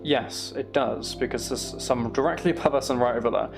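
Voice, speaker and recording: A man's voice: mid-range voice, a little fast, gravelly, over music. A British man giving casual video game commentary, thinking aloud as he plays. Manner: thinking aloud, mild swearing. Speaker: gamer narrating own play.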